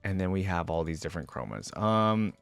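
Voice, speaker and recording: Male reaction host, informal and opinionated, lively intonation, mid-pitched, dry close recording, unscripted commentary. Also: fairly quick, vocal fry, no echo. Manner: mulls aloud, informal, opinionated.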